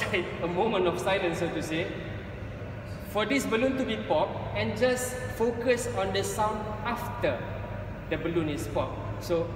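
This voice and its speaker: A man's voice: high voice, background noise. A middle-aged man giving a technical conference talk in a large hall, explaining step by step and checking in with the audience with "okay?". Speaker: conference presenter. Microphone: far camera. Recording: echoey hall recorded from far off.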